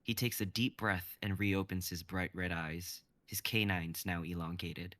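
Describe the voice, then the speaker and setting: Brief: male narrator, low gravelly voice, even script reading, dry sound. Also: unhurried, no room sound. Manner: even, descriptive, with stage directions.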